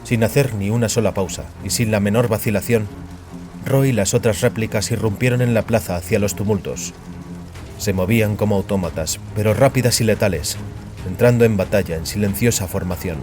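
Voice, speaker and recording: A man narrating dramatic fiction in a solemn, measured way. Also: on the low side, music in the background.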